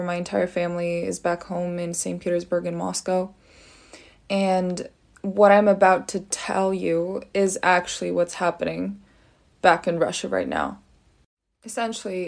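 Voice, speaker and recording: A woman's voice: fairly low pitch, gravelly, darkish tone. A woman from California giving an urgent, informative monologue for a short social media video, with frequent "um" fillers. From United States (California).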